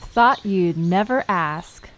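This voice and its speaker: A woman playing a curious, friendly young park visitor in an audio drama, inquisitive and expressive in a conversational way. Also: on the low side, takes it slowly.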